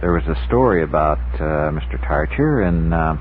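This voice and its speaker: A man being interviewed as an author on a radio show, talking in a casual, halting and reflective way. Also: extremely low pitch, animated. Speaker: guest author. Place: 1960s radio studio. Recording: muffled phone-like old radio recording, faint noise.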